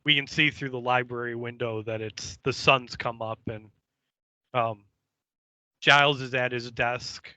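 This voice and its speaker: A man co-hosting a podcast, giving a casual episode recap in a halting, hesitant, foul-mouthed way. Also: mid-range voice, fairly even intonation, bright, forceful tone, no echo, poor audio. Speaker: podcast co-host.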